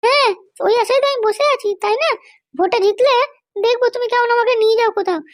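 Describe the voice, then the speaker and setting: A man voicing a bossy, short-tempered middle-aged cartoon wife with a West Bengal accent and a pitched-up voice. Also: quick pace, no room sound, clean studio sound. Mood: scolding. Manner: scolding, threatening. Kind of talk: comic cartoon dialogue.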